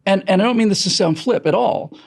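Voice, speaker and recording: A man's voice: high-pitched, fairly quick, gravelly. An American man giving earnest, off-the-cuff commentary as a host, talking emphatically in long, discursive explanations. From United States. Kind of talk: earnest extemporaneous political and historical commentary.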